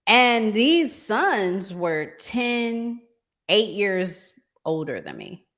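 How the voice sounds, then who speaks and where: A woman hosting a relationship discussion in a conversational, deliberate way, pausing in the middle of her phrases. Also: medium pitch, wildly swooping pitch, fairly bright tone. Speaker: podcast host.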